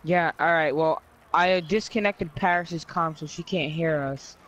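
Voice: medium pitch, unhurried, fairly bright tone, dull audio. A player casually roleplaying a bossy crew leader in an online crime game. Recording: faintly noisy game audio with vehicles. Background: faint game vehicle noise.

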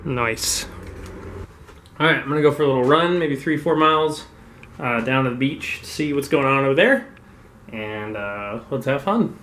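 A man's voice: medium pitch, lively intonation, moderate pace, recorded from a distance. A young adult man talking casually as a vlogger, recorded with noise around him. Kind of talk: casual vlog chat.

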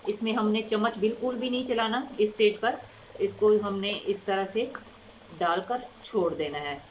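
A woman cooking-channel host explaining a home recipe step by step in a calm, unhurried way with frequent fillers. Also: medium pitch, muffled recording, a little hiss or hum. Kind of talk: recipe instruction over cooking footage. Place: home kitchen.

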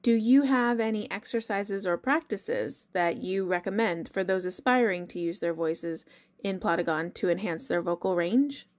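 A woman's voice: medium pitch, moderate pace. A woman asking scripted questions as an interviewer.

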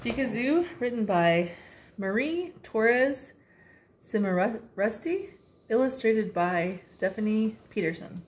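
A woman's voice: a little high, animated, slow pace, a little hiss or hum. A woman casually introducing a children's read-aloud as its host.

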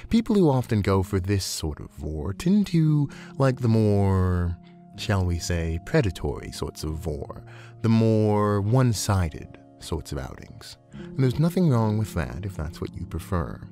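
A man voicing a genteel, soothing, playfully predatory snake-creature counselor in a measured, eloquent roleplay audio. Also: fairly low pitch, big pitch swings, mellow, soft background music. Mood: soothing, thoughtful.

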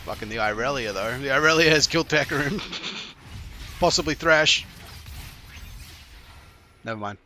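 A man's voice: mid-range voice, lively intonation, normal speed, long pauses, pushed and bright, a little hiss or hum. A man in his thirties coaching on a stream, reviewing a player's replay with sarcastic, profane jokes, talking into a headset at home. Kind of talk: live-streamed replay commentary.

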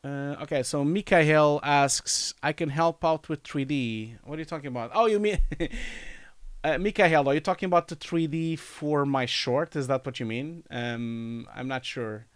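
A man's voice: a little high, normal speed, clear, resonant tone, dry recording, clean studio sound. Man with a cold hosting a stream. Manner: reads chat questions aloud, hesitant with many fillers. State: nasal, scratchy throat from a cold. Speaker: live stream host answering chat. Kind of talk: casual live Q&A. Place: home study with bookshelves, indoors. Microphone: studio mic on boom, wearing headphones.

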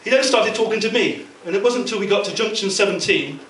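A middle-aged man delivering a prepared, humorous best man speech at a wedding reception, telling anecdotes with measured pauses. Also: high voice. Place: indoor function room at a wedding reception. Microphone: handheld mic through a PA speaker, filmed from across the room. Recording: distant, echoey, very noisy room recording. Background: loud room noise and guests.

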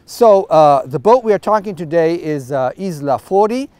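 A middle-aged man hosting a boat review interview outdoors on a catamaran deck, talking in a relaxed, chatty way with many fillers and a lapel mic. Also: a little high, normal speed, clean tone, dry recording.

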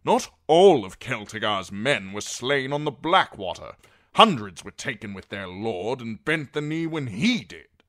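A man voicing a proud, aggressive knight in an audiobook, loud and declamatory. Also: medium pitch, very animated, unhurried, voice pushed up. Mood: accusing. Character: aggressive, indignant male knight, bloodthirsty and proud.

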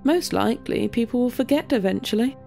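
A woman voicing a grouchy, dry story character who mutters in a deadpan way. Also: a little high, brisk, gravelly, faint music behind. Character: grouchy, dry companion of the guide.

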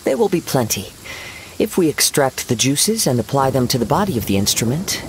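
A man voicing a gentle, serene young wandering poet swordsman in a game, with measured, formal, poetic phrasing. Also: mid-range voice, natural voice. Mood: composed, assured. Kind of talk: scripted game dialogue, storytelling. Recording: steady rain noise behind voice.

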